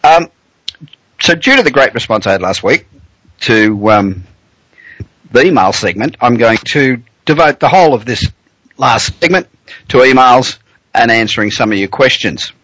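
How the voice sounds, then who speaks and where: A man's voice: mid-range voice, expressive, moderate pace, pauses often, a bit bright, no room sound, poor audio. A man with an Australian accent hosting a business talk radio show, blunt and joking, chatting with fillers.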